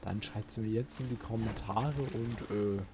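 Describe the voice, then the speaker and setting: A man's voice: fairly low pitch, unhurried, background noise. A man doing casual let's play commentary, musing aloud and chatting to his viewers. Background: game music.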